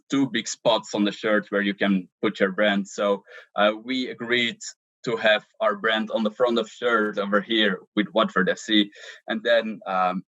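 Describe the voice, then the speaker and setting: Man, halting interview answers, low pitch. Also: a bit bright, no echo, dull audio. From Belgium. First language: Dutch. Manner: hesitant, many ums and restarts. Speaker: social media manager, interview guest.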